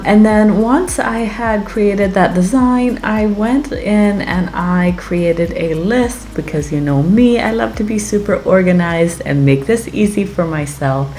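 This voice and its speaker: A woman with a slightly raspy voice from being sick, chatting enthusiastically as she narrates a casual craft tutorial. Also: mid-range voice, darkish tone. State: getting over a weekend sickness. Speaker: craft channel host. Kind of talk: casual tutorial narration over process video.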